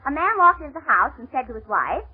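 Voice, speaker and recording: Casting: American female comic foil, fast and teasing, 1930s radio banter, thin telephone-like old recording. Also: sits high, sing-song. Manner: teasing, wisecracking.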